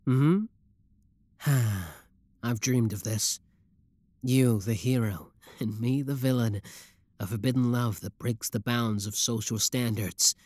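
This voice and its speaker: A man's voice: medium pitch, slow pace, a little mellow, no room sound, clean studio sound, close to an everyday voice. A man playing an obsessive, lovestruck young schoolboy villain in a roleplay audio drama, talking intimately to the listener. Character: shy schoolboy turned smug, obsessive yandere young villain.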